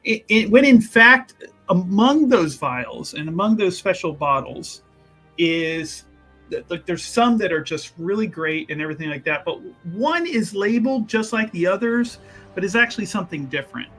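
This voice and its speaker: Male tabletop player, slightly high voice, improvising story casually with emphatic, repetitive asides, faint music behind. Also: moderate pace. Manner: animated, emphatic, rambling and repetitive. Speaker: player in a tabletop roleplaying game.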